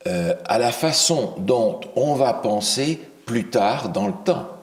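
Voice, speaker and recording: A man leads a Bible lesson with a very low voice that still rises and falls a great deal. He teaches by questioning his listeners and halts often on fillers and restarts. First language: not English. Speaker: preacher teaching a Bible study.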